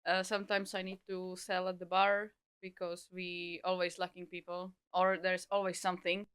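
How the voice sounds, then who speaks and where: Czech-accented woman, thirties, chatty guest, smooth tone. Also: lowish voice, completely flat delivery, normal speed, fairly bright tone. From Czech Republic. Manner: chatty, laughing. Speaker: guest, back office of bakery. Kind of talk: casual interview chat. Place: bakery.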